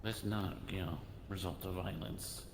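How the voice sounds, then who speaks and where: A man's voice: sits low, fairly even intonation, measured pace, gravelly. A man improvising as a guild member in a conversational online roleplay. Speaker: player acting a guild member. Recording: noisy, echoey online voice chat.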